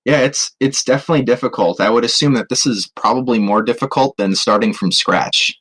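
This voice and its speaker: Man, medium pitch, podcast co-host, casual review talk, hesitant, repeated phrases. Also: fairly quick, a bit bright, slightly rough. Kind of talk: casual movie review chat.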